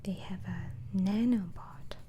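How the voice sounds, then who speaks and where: A woman whispering in an ASMR roleplay as a doctor interviewing a patient, hesitantly asking questions and repeating answers back in a breathy voice. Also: medium pitch, slow pace, dark tone, slight background noise. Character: gentle, inquisitive female mental asylum doctor.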